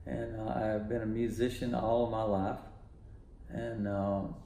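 A man's voice: on the low side, a little slow, vocal fry, slight background noise. Rambling man in a roomy studio. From Texas. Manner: relaxed, rambling with many fillers. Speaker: music producer. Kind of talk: casual interview talk. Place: home music studio, indoors.

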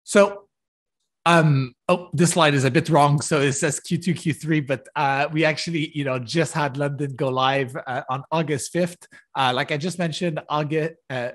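A man giving a technical keynote over a video call, halting with many fillers and restarts. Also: a little high. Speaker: conference keynote speaker. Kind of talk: technical conference talk. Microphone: video call webcam.

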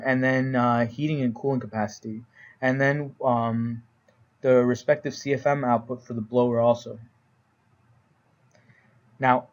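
An American man narrates a training presentation as an instructor. His voice sits at a middle pitch. He explains each point in plain, informal wording, as in a webinar voice-over. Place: voice-over recorded for a slide presentation.

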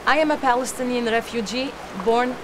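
A young woman from Lebanon, an actress, giving earnest, deliberate interview answers. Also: on the high side, noisy background.

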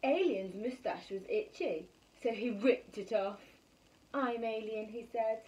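A woman in her thirties reading a picture book aloud for children, expressive and full of exclamations and questions. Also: mid-range voice, unhurried. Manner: animated, exclaiming, asking rhetorical questions. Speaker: primary school bedtime story reader. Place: small room at home. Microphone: camera a metre or two away. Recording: slightly distant phone camera recording.

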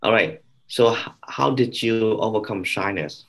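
A man in his thirties hosting a live video call from an office, upbeat and animated. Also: on the low side, unhurried. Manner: upbeat, animated, waves hands. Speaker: host. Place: office indoors. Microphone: laptop webcam mic on video call.

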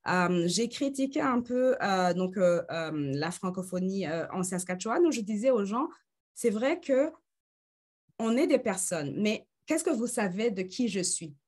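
A woman's voice: medium pitch, expressive, a little slow, a little mellow. A woman in her thirties moderating an online conference discussion, hesitating often with fillers, speaking into a webcam on a video call. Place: indoors in front of a green screen.

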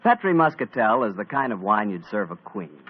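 Male radio announcer, salesy, low, animated, husky, tinny old radio. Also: brisk. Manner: bright, salesy announcer delivery. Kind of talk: scripted announcing. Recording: tinny 1940s radio broadcast.

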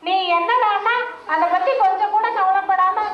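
Woman doing a very high-voiced, emotional village wife, scolding; echoey, dull puppet-show sound. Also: steady tone, moderate pace. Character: weary wife and mother, Vimala, middle-aged. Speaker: puppeteer voicing a character. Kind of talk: live puppet show dialogue. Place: outdoor stage performance. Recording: echoey, dull, faint hiss.

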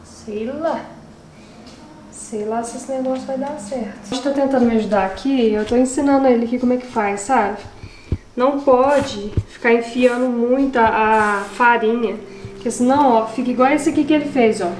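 A young woman talks to her viewers while she cooks, high-pitched and chatty, sounding fed up with the recipe. She is recorded in a home kitchen on the camera's mic, with a noticeable echo and faint clatter from the cooking. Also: normal speed, a little mellow, slight background noise. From Brazil. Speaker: channel host. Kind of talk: casual cooking vlog chat.

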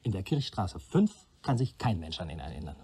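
Radio play detective's assistant: mellow, very varied intonation, methodical, lays out logic step by step. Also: male voice, low-pitched, big pitch swings, a little fast, slightly rough, full character voice. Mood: analytical. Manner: reasoning step by step. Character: analytical male police assistant, methodical reasoner.

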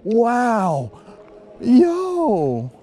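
A man narrating his fishing trip by a lake, talking casually and getting loud and excited when fish bite, recorded on a head-mounted camera. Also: very high-pitched, drawn-out words. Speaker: fishing vlogger. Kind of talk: casual outdoor commentary. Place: outdoors by a lake below a dam. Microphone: head-mounted action camera. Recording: faint outdoor noise.

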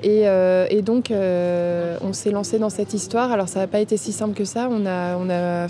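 A woman's voice: mid-range voice, fairly even intonation, moderate pace, mellow. A young woman, co-manager of a restaurant, talking in a casual radio interview on an outdoor terrace, with many fillers and restarts. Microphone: table mic on stand.

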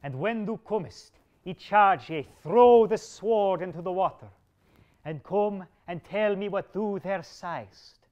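A grave, wounded old king voiced by a male reader delivers his commands in slow, solemn, archaic language. The voice sits a little high and grows forceful when he gives orders. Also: lo-fi sound. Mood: commanding. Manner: solemn, archaic speech, sharpening to stern commands. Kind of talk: reading a medieval tale aloud.

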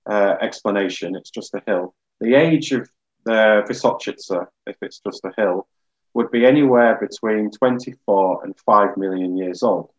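A man in his thirties explaining science informally and in a measured way, as a guest geologist on a webinar. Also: lowish voice, a little mellow, highs cut off. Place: small plain room indoors. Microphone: video call.

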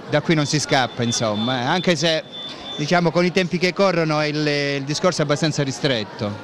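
A middle-aged man gives casual interview answers, searching for his words. His voice is medium in pitch. It is caught on a handheld mic amid clear shopping mall noise. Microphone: interviewer's handheld microphone. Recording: noisy shopping mall ambience.

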